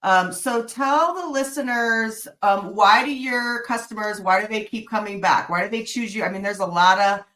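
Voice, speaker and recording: A woman's voice: medium pitch, normal speed, hardly any pauses, bright, forceful tone. A woman podcast host with a mid-range voice, leading a casual interview. She is friendly and affirming, cheering her guest on with short, encouraging replies between her questions.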